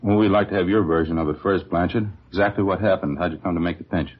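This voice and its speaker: A man's voice: low-pitched, fast, slightly rough. A man playing a businesslike police detective who questions people in a 1950s radio drama. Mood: probing. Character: brisk, questioning male police detective partner. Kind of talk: 1950s radio acting, dialogue. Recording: dull, noisy old radio recording.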